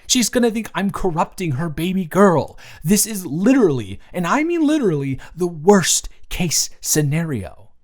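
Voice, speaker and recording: A man's voice: high voice, sing-song, moderate pace. A man playing an earnest, easily flustered young man in love, in a conversational, emotive romantic audio roleplay addressed to the listener. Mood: panicked, indignant. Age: young adult. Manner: conversational, emotive, swinging from hushed to exasperated.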